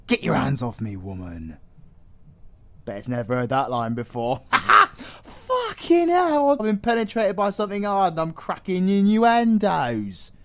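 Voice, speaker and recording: A man's voice: fairly high pitch, a bit bright, exaggerated voice. A British man playing a cocky, foul-mouthed supervillain in an audio roleplay, crude, teasing and sarcastic. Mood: wry, exasperated. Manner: crude, teasing, sarcastic, heavy slangy swearing. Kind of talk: audio roleplay acting addressed to the listener.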